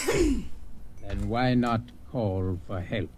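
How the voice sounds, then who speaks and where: An actor playing a calm, condescending alien agent posing as a ship's lieutenant in a 1950s radio drama. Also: male voice, on the low side, extremely slow, very noisy, full character voice. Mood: coldly menacing.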